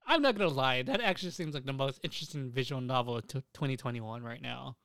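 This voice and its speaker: A young man co-hosting a podcast, chatting casually and crudely about anime and games in an excitable, giggly, sweary way. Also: medium pitch, fast, smooth, dry recording, clean studio sound. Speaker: podcast co-host.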